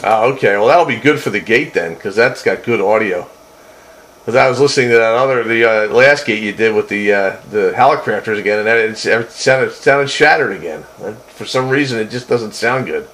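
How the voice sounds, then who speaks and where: A man's voice: fairly low pitch, fairly quick, a bit bright. A man chatting casually and in a folksy way as an operator over a two-way CB radio. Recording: noisy two-way radio transmission.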